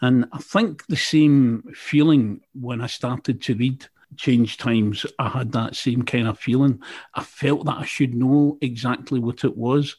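A man's voice: medium pitch, measured pace, a little mellow, slightly rough. A man with a Glasgow Scottish accent, a presenter chatting casually with an author, with plenty of ums and restarts. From Scotland, Glasgow. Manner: chatty, many fillers and restarts. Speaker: interviewer, radio presenter. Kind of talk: casual interview chat.

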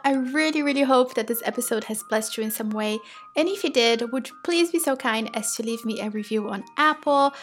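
A woman from Brazil with a Brazilian Portuguese accent, Portuguese her first language, hosting a motivational podcast in an encouraging, affectionate way. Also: a little high. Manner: encouraging, affectionate, addresses listener as "my love". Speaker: podcast host and women's business coach.

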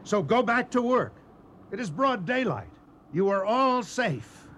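An actor playing a forceful young colonial railroad engineer in a radio drama, declaiming with authority. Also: male voice, sits high, very animated, a little slow, a little hiss or hum, exaggerated voice. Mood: defiant, commanding.

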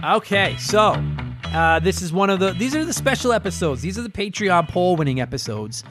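A man's voice: sits high, lively intonation, fairly quick, over music. A man hosting a gaming podcast in casual chat, enthusiastic and swearing freely. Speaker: podcast host.

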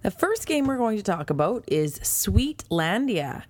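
A woman co-hosting a board game podcast, chatty and jumping in with quick jokes and asides. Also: medium pitch, very animated. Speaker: podcast co-host.

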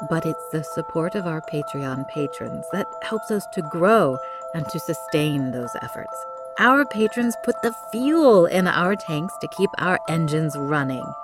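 A woman's voice: low voice, expressive, a little slow. A woman reading a scripted podcast outro and credits as the host. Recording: clear music bed under the voice. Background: music with chimes.

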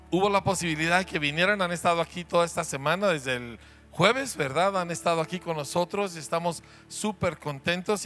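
A man's voice: fairly high pitch, sharp tone. A man with a Mexican Spanish accent, a pastor introducing guests to his church in a joking, chatty way that keeps asking the audience questions.

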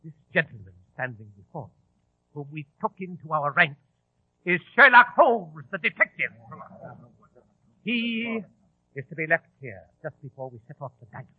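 An actor playing a ruthless, commanding gang leader who barks orders in a rough voice, in 1940s radio drama. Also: male voice, on the high side, sing-song, normal speed, no room sound. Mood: menacing, commanding. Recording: dry, muffled old radio broadcast.

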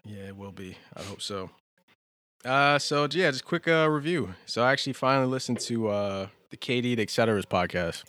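This is an American man in casual co-host mode, with an average-pitched voice captured dry and close. He talks in slang with plenty of swearing. His points come loosely and wander as he goes. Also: animated, brisk, a bit bright, dry recording. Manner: slangy, profane, loose and meandering. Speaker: podcast co-host. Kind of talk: casual banter and loose reviews.

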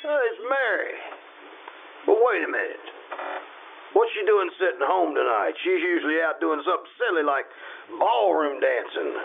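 An actor playing a stubborn, skeptical workaholic store boss in an old-time radio drama, grumbling and halting. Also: male voice, fairly high pitch, big pitch swings, gravelly, full character voice. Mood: incredulous. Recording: tinny phone-like band, noisy radio sound.

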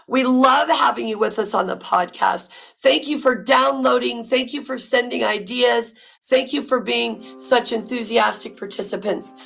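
A woman hosting a podcast, talking with loud, emphatic energy and repeating words when she stresses a point. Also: fairly high pitch, vocal fry, slightly rough. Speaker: podcast host. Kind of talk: casual podcast monologue.

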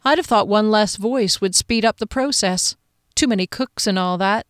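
A woman's voice: mid-range voice, normal speed, no room sound, studio quality, lightly acted. A woman voicing a defiant female fantasy adventurer in an audiobook, with a sarcastic, indignant manner. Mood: light, flippant.

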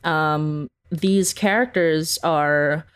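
A woman's voice: low voice, very slow, smooth. A woman guest chatting casually in an interview, giggly and rambling, with very informal phrasing. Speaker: podcast creator, interview guest.

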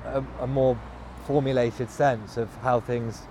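A man talking in a thoughtful, halting way, with frequent restarts, while walking outdoors. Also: medium pitch, steady tone, darkish tone, a little hiss or hum. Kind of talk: casual conversation. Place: walking outdoors by a cycle path in a city.

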